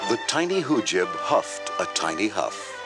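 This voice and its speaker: A man's voice: lowish voice, big pitch swings, measured pace. A man narrating a scripted read-along storybook. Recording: loud music under the voice.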